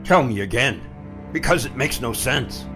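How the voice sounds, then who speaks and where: An actor playing a desperate older scientist in an audio drama, pleading and agitated. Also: male voice, medium pitch, expressive, normal speed, over music, lightly acted. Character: desperate older male scientist, defiant but cornered.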